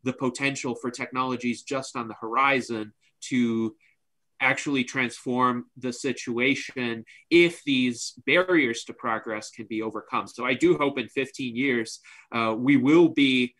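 A man in his thirties moderating an online panel in formal, measured phrasing over a webcam. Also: mid-range voice, a bit bright, poor audio. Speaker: host and moderator. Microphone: webcam on video call.

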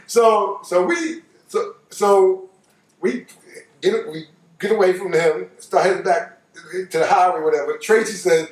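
An older American man with a high voice, spinning a tale in loose, colloquial speech. He repeats phrases to hammer them home. He sounds set back from the microphone in a roomy space. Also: measured pace, far from the mic. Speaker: storyteller. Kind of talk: animated personal storytelling, casual. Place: living room couch, indoors.

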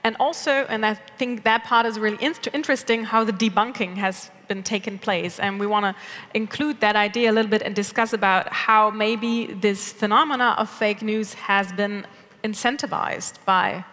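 A woman's voice: mid-range voice, fairly even intonation, moderate pace, a bit bright. Thirties woman moderator, conversational, on a big conference stage with hall echo. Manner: conversational, asks open questions. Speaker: panel moderator. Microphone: headset mic. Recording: reverberant hall.

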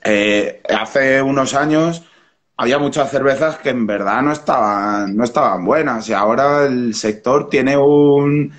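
A man in his thirties with a Spanish accent, speaking at a middle pitch over a video call from a small room at home. His talk is relaxed and rambling, full of restarts and fillers as he explains his brewery. The laptop mic gives it a plain home-call sound. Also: measured pace. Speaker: brewer and brewery founder, guest. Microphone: webcam or laptop mic on a video call.

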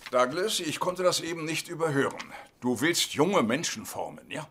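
A blustering old father-in-law in a German sitcom dub. His voice is forceful and piercing, full of scorn and indignation, with faint background noise. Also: fairly high pitch, animated, normal speed. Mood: indignant.

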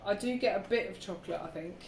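A woman's voice: medium pitch, fast, a little mellow. Distant and roomy, with faint noise behind it, a woman's voice offers opinions in casual chat. She sounds like a bystander joining in, away from the microphone. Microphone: off camera, away from mic.

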